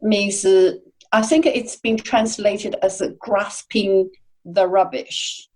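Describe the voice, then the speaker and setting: Slow, mellow-voiced woman. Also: medium pitch, fairly even intonation. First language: Chinese. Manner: thoughtful, hesitant with many uhs. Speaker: podcast guest, translator. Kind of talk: casual interview chat.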